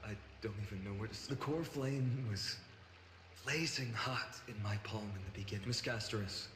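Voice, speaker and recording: An actor playing a restless young fantasy warrior lord in game dialogue, speaking in a reflective, wryly resigned, conversational way. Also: male voice, lowish voice, normal speed, a bit bright, lightly acted. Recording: echoey reverberant hall, faint noise.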